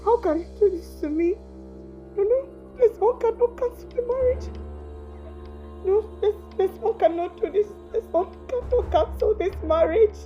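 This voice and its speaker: A woman's voice: very high voice, moderate pace, muffled recording, soft background music. An actress playing a fragile young woman who pleads in disbelief after her wedding is called off. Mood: distraught. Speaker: actress in a family drama.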